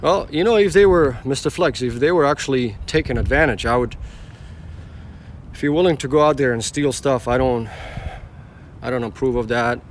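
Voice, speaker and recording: A man's voice: medium pitch, moderate pace, long pauses, slight background noise. Rambling man livestreaming from a car. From former Yugoslavia. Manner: rambling, repeats himself, talks back to chat. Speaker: rideshare driver livestreaming. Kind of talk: casual livestream chat with viewers. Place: inside a car on city streets.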